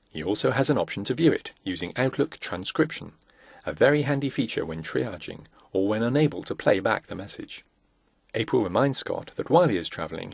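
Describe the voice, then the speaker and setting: A man reading scripted corporate narration for a product demo in a measured, instructional way. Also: on the low side, creaky, husky, no echo.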